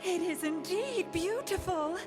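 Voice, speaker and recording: A woman acts a courteous, wondering young maiden in a fantasy radio play. Her voice is high and her phrasing formal, with music clearly beneath it. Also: animated, a little slow. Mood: polite, wondering. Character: Lilia, beautiful polite young woman in paradise.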